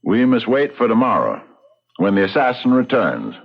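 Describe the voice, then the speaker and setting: An actor playing a laconic, knowing frontier lawman in a radio drama, terse and deliberate. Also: on the low side, huge pitch swings, gravelly, slightly rough, heavily acted. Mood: cryptic, grave. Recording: slightly dull radio drama recording.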